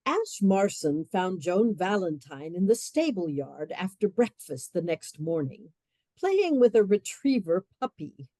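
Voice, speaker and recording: Woman narrator, mellow, low, lilting, unhurried, dry studio sound. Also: big pitch swings, dry recording. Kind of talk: scripted narration.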